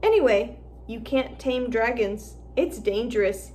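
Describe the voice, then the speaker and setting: A woman's voice: fairly high pitch, expressive, a little slow, darkish tone, a little hiss or hum. A woman voicing a boastful schoolboy wizard. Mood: explaining. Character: eager schoolboy wizard, know-it-all about dragons.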